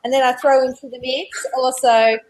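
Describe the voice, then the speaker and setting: A bubbly Australian woman chatting as a guest, giggling and rambling along. Her voice sits a bit high. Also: flat delivery, moderate pace, rough recording. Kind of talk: casual chat.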